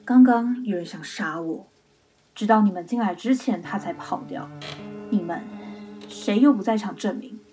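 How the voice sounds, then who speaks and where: A woman performs a young female student investigating in a radio mystery. She is cool, deliberate and pointed, in a mid-range voice. Clear background music runs under her words. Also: medium pitch, dark tone, lightly acted. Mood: tense, suspicious. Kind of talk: mystery radio drama acting.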